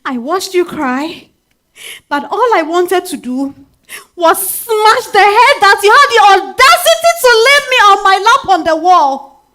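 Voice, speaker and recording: A woman's voice: very high-pitched, sing-song, measured pace, exaggerated voice. A woman with a Ghanaian accent playing a bitter, wounded wife in her thirties in a theatrical stage reading. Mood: bitter, anguished. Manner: theatrical, swinging from hushed to outcry. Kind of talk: dramatic stage monologue reading. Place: live stage at a literary festival.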